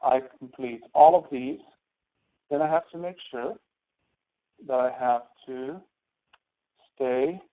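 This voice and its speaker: A man teaching step by step as an instructor in a screen-share tutorial, halting and checking with questions. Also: mid-range voice. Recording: tinny phone-like online meeting audio.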